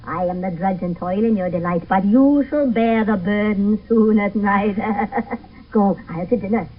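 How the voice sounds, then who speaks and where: A woman's voice: mid-range voice, measured pace, natural voice. A woman playing a teasing, complaining, bawdy old nurse in a Shakespeare radio play, dawdling over her words. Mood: gleeful, fond. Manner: dawdling, groaning, chuckling. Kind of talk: 1970s radio Shakespeare acting. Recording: muffled phone-like vintage radio broadcast, distant.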